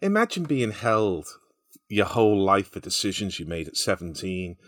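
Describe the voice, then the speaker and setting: A man's voice: lowish voice, big pitch swings, moderate pace, fairly bright tone, no echo, clean studio sound. A man, making wry, amused remarks in a casual chat.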